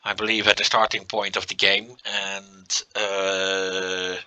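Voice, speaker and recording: Dutch guy doing a lets play, really bright voice, easygoing, lots of uhs. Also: deep voice, slow, clear, resonant tone, low-quality recording. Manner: relaxed, thinking aloud with frequent uh fillers. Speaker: let's play commentator. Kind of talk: casual gameplay commentary.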